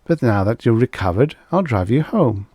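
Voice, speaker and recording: A man's voice: mid-range voice, animated, normal speed, warm tone, slightly rough, no echo. A man voicing a smug, condescending male official in an audiobook, soothing and confidential. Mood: soothing, dismissive. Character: smug, patronizing pipe-smoking official humoring a woman. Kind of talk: audiobook character voice.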